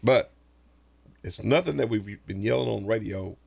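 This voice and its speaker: Hoarse man talking sports on radio. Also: lowish voice, moderate pace, a little mellow, no echo. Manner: rambling, repeats himself. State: hoarse from a sinus infection. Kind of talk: casual sports talk. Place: radio studio. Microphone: studio microphone.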